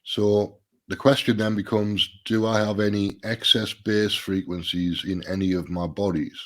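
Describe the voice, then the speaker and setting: A man's voice: flat delivery, unhurried. A middle-aged man hosting a casual solo live stream, a little nasal and stuffy from a sinus problem, thinking aloud with restarts. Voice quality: slightly nasal, deeper than usual.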